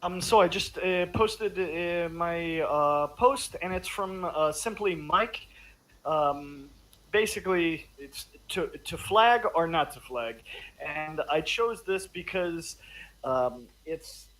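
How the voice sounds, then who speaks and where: A man in a casual online discussion, talking in halting bursts with many restarts and fillers. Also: on the high side, lively intonation, fairly bright tone, low-quality recording. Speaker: podcast panelist.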